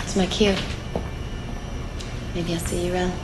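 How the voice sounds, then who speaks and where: An actress as a low-voiced, awkward crew woman. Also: flat delivery, natural voice. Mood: sheepish. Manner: halting, sheepish. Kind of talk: game cutscene acting. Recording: echoey, noisy hangar ambience.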